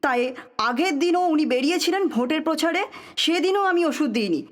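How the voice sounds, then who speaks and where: A woman's voice: sits high, expressive, fairly quick. A woman playing an embittered, defiant, unrepentant woman in an audio drama, speaking firmly and intensely. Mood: defiant, impassioned. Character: embittered, defiant woman confessing without remorse. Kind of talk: Bengali audio drama acting.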